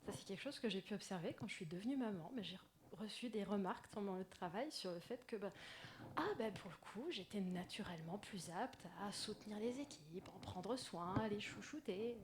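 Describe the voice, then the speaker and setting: A woman with a soft, gentle voice, speaking to an audience in a personal, lightly self-deprecating conference talk. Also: mid-range voice, moderate pace. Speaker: conference speaker, agile coach. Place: indoor conference room. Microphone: stage microphone. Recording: noisy live microphone in a talk room.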